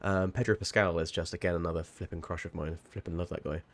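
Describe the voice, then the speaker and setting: A man's voice: deep voice, fairly even intonation, quick pace. Rambling, sweary man streaming a game. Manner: rambling, sweary, bursts into startled outcries. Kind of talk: casual livestream chat.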